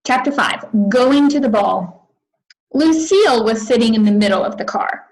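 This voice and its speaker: A woman reading a children's chapter book aloud in an expressive narrating style. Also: on the high side, moderate pace, poor audio. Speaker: read-aloud reader.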